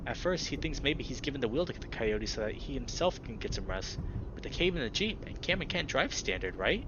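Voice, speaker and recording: Very animated young man reading a game aloud. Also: mid-range voice, a little fast, noisy background. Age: young adult. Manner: excitable, emphatic reactions. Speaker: gaming video host reading a visual novel aloud. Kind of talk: expressive narration with excited commentary. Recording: slightly dull audio, music behind.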